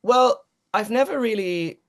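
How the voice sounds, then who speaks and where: A man's voice: sits high, measured pace. A man whose first language is German, a voice actor guest chatting casually in an interview, rambling and self-deprecating, laughing often and restarting his sentences.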